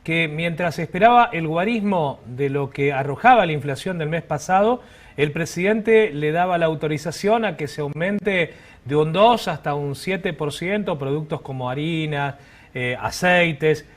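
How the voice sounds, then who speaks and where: Argentine man, slightly high voice, TV co-host, colloquial challenging interview questions, halting phrasing.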